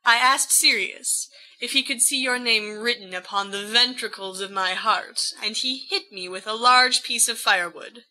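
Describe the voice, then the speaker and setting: A woman's voice: fairly high pitch, normal speed, bright, forceful tone, low-quality recording. A woman reading fiction aloud as a cocky, flamboyant, lovestruck young man's letters, with playful theatricality. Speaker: volunteer audiobook reader.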